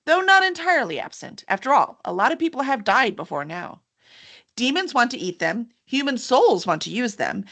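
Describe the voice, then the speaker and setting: A woman's voice: mid-range voice, a bit bright. A woman hosting a casual live-streamed book chat in an enthusiastic, gushing manner. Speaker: host of a book cover reveal.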